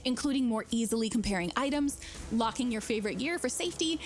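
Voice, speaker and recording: A woman with a fairly high voice narrates a game showcase. Her delivery is scripted and promotional, and music plays clearly behind her. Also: expressive, fast.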